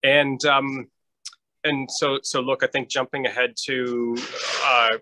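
A middle-aged man from New Hampshire, speaking as a guest scientist over a video call on a headset, measured and thoughtful with frequent ums and restarts. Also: medium pitch, little pitch movement, pushed and bright, poor audio. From New Hampshire, United States. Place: lit home room, indoors.

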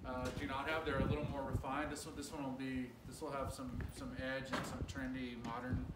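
A man's voice: mid-range voice, level tone, a little fast. A man leading a casual walking tour of a building site, pointing things out with frequent fillers. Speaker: tour guide. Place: large unfinished timber building under construction. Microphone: camera from a distance. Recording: echoey room, distant mic, background noise.

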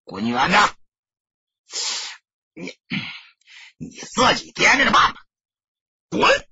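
A man voicing a menacing, extorting gang boss in an audiobook, raspy and forceful. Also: high voice, huge pitch swings, very slow, lo-fi sound, noisy background, pitched above the performer's own voice, heavily acted. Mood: menacing, demanding. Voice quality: raspy, sharp. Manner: forceful, bullying.

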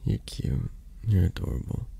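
A man playing a flirty, possessive, tender mafia boss in an intimate ASMR roleplay, hushed and halting, drifting into whispers. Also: close to an everyday voice. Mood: adoring, possessive. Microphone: close microphone, dry sound.

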